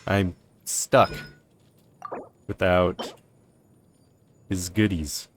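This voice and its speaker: A man's voice: low-pitched, steady tone, very slow, slight background noise. A man doing casual game commentary as a streamer, chatting in an informal, rambling way. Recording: faint game music behind.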